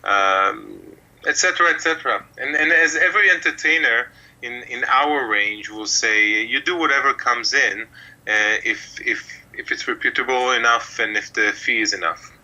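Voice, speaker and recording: A man's voice: mid-range voice, normal speed, bright, forceful tone, rough recording. A middle-aged man from Israel, a mentalist telling his story in an interview, rambling with many fillers. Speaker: mentalist guest. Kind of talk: relaxed interview talk.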